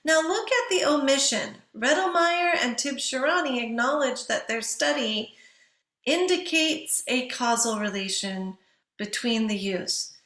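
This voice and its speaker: A woman's voice: sits high, lively intonation, a little slow, a bit bright, echoey room. A woman giving an instructional writing lecture in a didactic way, posing questions to listeners and answering them herself.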